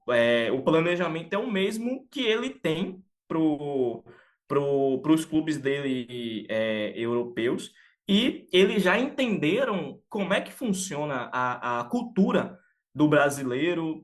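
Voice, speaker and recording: A man from Salvador, co-hosting a casual sports podcast and talking with many fillers and restarts. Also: fairly high pitch. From Salvador, Bahia, Brazil. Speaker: podcast co-host.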